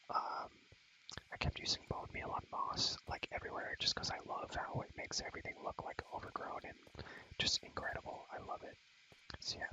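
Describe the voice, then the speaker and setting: A man's voice: very high voice, sharp tone, poor audio. A man whispering breathily in a casual ASMR style as he shows a game, rambling and repeating phrases over and over. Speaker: ASMR content creator.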